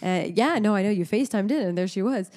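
A woman's voice: medium pitch, lively intonation, quick pace, a little mellow. A woman in her thirties, co-hosting a podcast in casual chat, a chatty storyteller who laughs often. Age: 30s. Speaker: podcast co-host.

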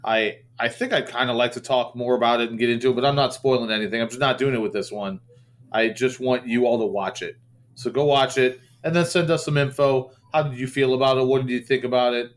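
A man with a mid-pitched voice co-hosting a podcast in a relaxed chat. He talks in a friendly, teasing way, often stumbling and repeating words as he goes. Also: quick pace. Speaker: podcast co-host. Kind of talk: casual chat.

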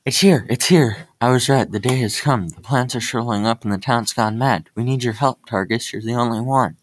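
A woman voicing the men in her story, an odd old prophet and a young sailor, in a deep, gruff put-on voice. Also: a little fast, dry recording. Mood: urgent. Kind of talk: amateur storytelling. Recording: distant from the mic.

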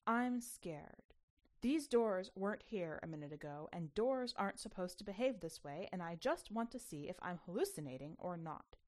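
A woman voicing an anxious mother who coaxes her child with expressive exasperation, in a storybook reading. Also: on the low side, normal speed, lightly acted. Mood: anxious coaxing.

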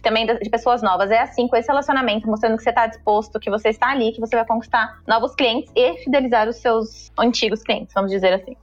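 Quick-talking Brazilian woman, slightly dull sound. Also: on the high side, fairly even intonation, faint music behind. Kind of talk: conversational advice.

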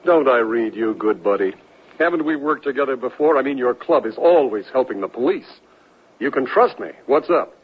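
An actor playing a genial, patronizing police captain with a folksy manner in a 1970s radio drama. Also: male voice, mid-range voice, animated, moderate pace, husky. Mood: reassuring. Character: genial, gently teasing male police captain. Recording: dull old radio broadcast.

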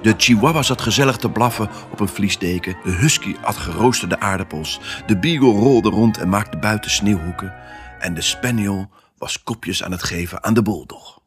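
A male actor voicing an excitable pet dog who tells his own Christmas adventure in an expressive, theatrical family audio drama style. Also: fairly low pitch, moderate pace, faint music behind, lightly acted. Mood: warm, joyful. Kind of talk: first-person storytelling in a family audio drama.

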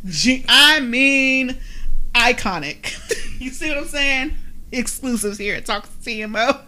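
A woman with a high, bright voice hosts a casual chat show. She talks in a playful, exclamatory way and often breaks into laughter. Her delivery is unhurried, with some background noise around her. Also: animated. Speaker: podcast host.

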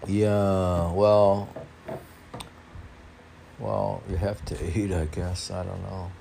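A man reading his poems and commenting off the cuff in a rambling, halting way, asking himself questions. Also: low voice, flat delivery, gravelly, warm tone, a little hiss or hum, poor audio. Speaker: poet and podcaster reading own work.

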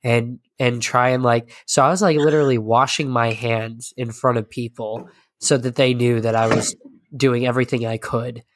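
A man hosting a casual podcast, hoarse and stuffy from a sinus infection, chatting in a rambling, self-deprecating way. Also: mid-range voice, steady tone, moderate pace, slight background noise. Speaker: podcast host.

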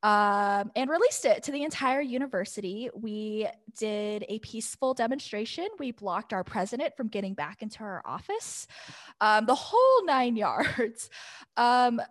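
A woman's voice: a little high, big pitch swings, unhurried, dry recording. A young adult woman speaking as a podcast guest, telling personal stories in a chatty, informal and long-winded way. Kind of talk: casual conversational personal storytelling.

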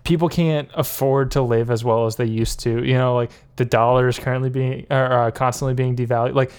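A man's voice: medium pitch, fairly even intonation, brisk, darkish tone, clear, resonant tone. A man co-hosting a casual podcast, rambling through his points with many fillers and frequent laughs. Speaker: podcast co-host. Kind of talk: casual political chat.

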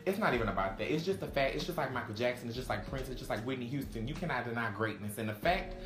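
Podcast co-host: Texan man, mid-pitched, emphatic and drawn out, roomy echo, music under. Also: expressive. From Texas. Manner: emphatic, drawn-out, opinionated delivery. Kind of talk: casual podcast chat.

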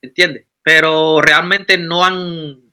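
A Cuban man with a very bright, ringing voice talks at a fairly high pitch. He is a musician in his thirties who tells his story in a relaxed interview. He restarts his sentences often as he goes. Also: takes it slowly, clean tone. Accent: Cuban Spanish. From Cuba. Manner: animated, rambling with frequent restarts. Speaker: musician guest. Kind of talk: casual interview talk.

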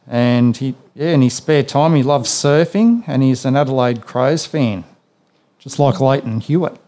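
A man's voice: medium pitch, mellow. A man with an Australian accent hosting a sports memories podcast, chatting casually and filling his talk with "yeah"s and "you know"s. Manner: rambling, with many fillers and "yeah"s. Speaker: podcast host.

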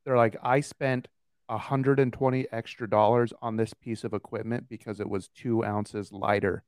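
A man co-hosting a podcast, chiming in with joking, animated reactions in casual banter. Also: lowish voice, moderate pace, clean tone, no echo, clean studio sound. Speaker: podcast co-host.

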